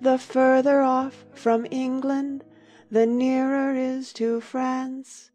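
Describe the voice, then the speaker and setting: A woman as a mournful, excitable turtle creature. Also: high-pitched, faint music behind. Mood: slow sad singing. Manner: slow, drawn-out, doleful. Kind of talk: dramatized storybook audiobook.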